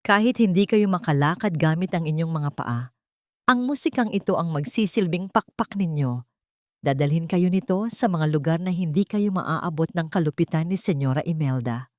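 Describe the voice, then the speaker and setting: A woman voicing a tender, encouraging housemaid in an audio drama, speaking softly and affectionately. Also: low-pitched, lively intonation, moderate pace, dry recording, studio quality. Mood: hopeful encouragement. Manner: soft, soothing, affectionate. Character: tender, encouraging young housemaid comforting children.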